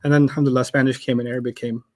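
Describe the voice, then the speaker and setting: A man's voice: medium pitch, flat delivery, rapid-fire, a little mellow. A man, a native German speaker, teaching Arabic in a casual, chatty way and telling stories with laughter. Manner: chatty, storytelling, laughs often. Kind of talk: casual teaching chat with anecdotes.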